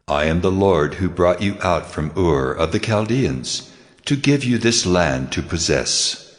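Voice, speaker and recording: A man's voice: sits low, expressive, a little slow. An actor playing God in a dramatized Bible reading, a solemn and commanding male divine voice making declarations. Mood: solemn, assuring. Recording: long cavernous reverb.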